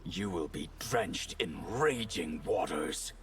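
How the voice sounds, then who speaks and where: Deep, solemn mage. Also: male voice, animated, slow, fairly bright tone, slight background noise, exaggerated voice. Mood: solemn, proud. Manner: grave, formal, proud pronouncements. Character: solemn, haughty masked water mage. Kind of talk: video game fight dialogue. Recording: slightly distant, music behind.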